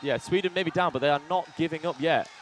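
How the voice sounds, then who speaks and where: A man with a loud voice doing colour commentary on a headset in a commentary box, chiming in with quick agreement and reading out viewer comments. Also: a little high. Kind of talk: live sports commentary. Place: commentary box beside an indoor court.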